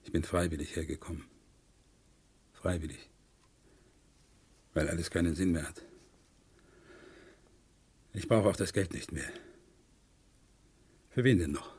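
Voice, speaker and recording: A man's voice: low voice, measured pace, pauses often, a little mellow, breathy edge, full character voice. An actor in a 1970s radio crime play voicing a weary, broken man who confesses with bitter, resigned repetition. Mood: bitter, defeated. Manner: resigned, repeats himself bitterly.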